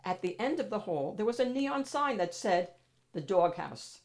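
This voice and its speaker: A woman reads a children's picture book aloud as host, with expressive, dramatic emphasis. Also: a little high, moderate pace. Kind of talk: animated storybook narration for children.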